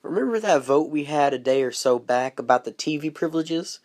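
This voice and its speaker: Woman playing a low-pitched, cocky rebel man. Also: animated, a little fast, darkish tone. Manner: casual, cocky, needling. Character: brash, rebellious male psychiatric patient, defiant and folksy. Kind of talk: scripted amateur film dialogue.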